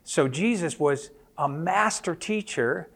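A man's voice: fairly high pitch, animated, takes it slowly, a bit bright. An older man with an American accent, a missionary giving an earnest, conversational talk to a room of teachers. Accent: General American. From United States. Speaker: missionary speaker. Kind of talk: presentation to teachers. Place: room with an audience.

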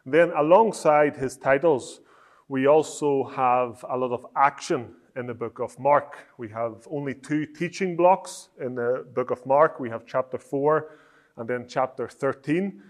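A man from Northern Ireland with a Northern Irish accent, giving a measured, explanatory teaching talk on preaching. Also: mid-range voice. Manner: measured, explanatory, with frequent restarts.

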